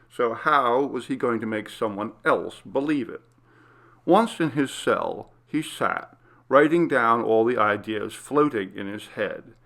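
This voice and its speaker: A man's voice: mid-range voice, vocal fry, fairly bright tone. A man reading a scripted horror story as its narrator.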